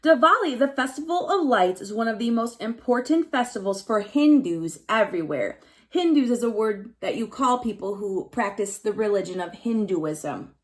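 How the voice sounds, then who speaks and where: A woman with a fairly high, very expressive voice gives a read-aloud lesson for young children. She speaks as their teacher, explaining in simple phrases and leaning on key words. Her delivery moves between reading the text and addressing the pupils directly.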